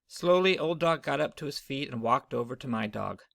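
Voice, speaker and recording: A man's voice: medium pitch, expressive, moderate pace, vocal fry, fairly bright tone, no echo, studio quality. A man narrating a children's story, read aloud from a storybook.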